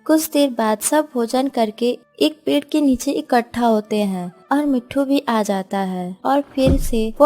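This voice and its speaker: A woman narrator with a fairly high voice tells a scripted children's cartoon story. Music runs underneath her reading. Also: darkish tone.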